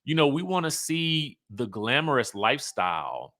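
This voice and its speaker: A man's voice: mid-range voice, very animated, moderate pace, sharp tone. A man hosting a reality TV commentary show, speaking in a conversational, emphatic, opinionated way, sometimes reading out cast bios.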